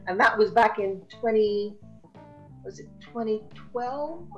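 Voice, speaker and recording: A woman's voice: on the high side, takes it slowly, fairly bright tone, lo-fi sound, faint music behind. Effusive woman podcast host. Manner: effusive, praising. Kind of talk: interview conversation.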